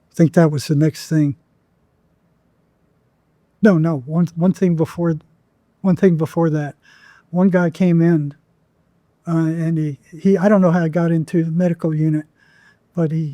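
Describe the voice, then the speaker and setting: A man's voice: on the high side, mellow, no echo. A man telling stories as an interview guest in a conversational, rambling way, often acting out quoted dialogue. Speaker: podcast guest, psychotherapist.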